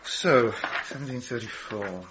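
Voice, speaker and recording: A man's voice: mid-range voice, natural voice. A man as a fussy records keeper, muffled recording. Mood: cautious, apologetic. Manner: halting, muttering, chatty. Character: helpful, fussy male local records keeper, mildly cautious. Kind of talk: naturalistic radio drama acting. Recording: dull lo-fi taped broadcast, hiss.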